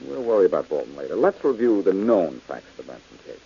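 An actor playing a confident, clever detective in an old radio drama, brisk and self-assured. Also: male voice, low voice, sing-song, creaky, warm tone, husky, exaggerated voice. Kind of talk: 1940s radio acting. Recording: dull old radio broadcast with hiss.

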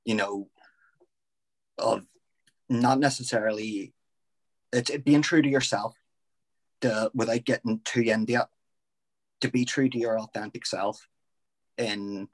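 A man's voice: on the low side, no room sound, rough recording. Male wrestler guest, earnest and heartfelt, dry close-miked sound. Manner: earnest, heartfelt, with teasing jabs. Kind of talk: casual chat.

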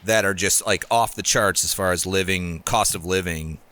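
A man's voice: low voice, moderate pace, a loud crowd. A man hosting a podcast in a casual chat, rambling with lots of restarts and enthusiastic introductions. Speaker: podcast host.

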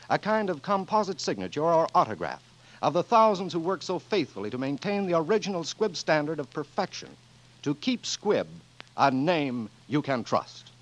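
A man working as a 1940s radio announcer, reading scripted copy in a polished, measured, declarative manner. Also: a little high, a bit bright. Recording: dull old radio recording.

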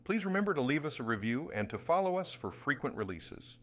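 A man's voice: fairly high pitch, big pitch swings, brisk, fairly bright tone. A man reading a scripted podcast announcement in a presenter's role. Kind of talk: scripted intro and outro narration.

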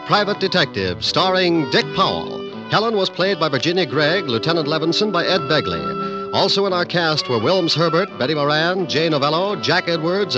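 A man with an American accent making formal, declamatory scripted announcements in mid-century radio style. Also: fairly high pitch. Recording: dull vintage radio recording with music.

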